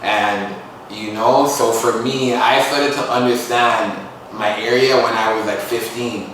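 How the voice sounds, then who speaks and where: A man's voice: mid-range voice, steady tone, unhurried, fairly bright tone, slight background noise. A young man from Toronto, a musician and poet, talking earnestly and casually about his life as a podcast guest. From Toronto, Canada. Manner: earnest, reflective, street-casual phrasing. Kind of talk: casual interview talk. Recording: echoey room, voice far from mic.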